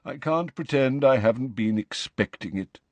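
A man voicing a resigned, courtly male ghost in an audiobook, speaking quietly and formally with hesitant, sighing phrases. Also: medium pitch, lively intonation, normal speed, no echo, voice pushed up, lightly acted. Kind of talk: audiobook character voice.

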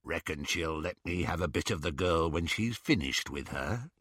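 Man doing a low rasping werewolf. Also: expressive, slow, bright, forceful tone, no echo, studio quality, full character voice. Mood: leering. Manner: snarling, rasping, crooning. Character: snarling menacing male werewolf, gravelly and low.

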